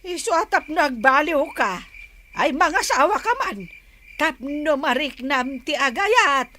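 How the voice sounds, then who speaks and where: High-pitched, nagging Ilocano village woman. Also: expressive, takes it slowly, pushed and bright, a little hiss or hum, exaggerated voice. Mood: coaxing, scolding. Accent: Filipino, Ilocano. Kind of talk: radio drama acting.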